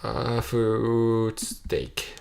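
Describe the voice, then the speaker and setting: A young man talking casually while he games, thinking aloud and correcting himself mid-sentence. His voice is gravelly with plenty of fry, and it cracks because he feels a bit off, picked up by a desk mic at home. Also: medium pitch, completely flat delivery, very slow, background noise. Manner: rambling, self-correcting, talks to himself. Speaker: gamer streaming a play session.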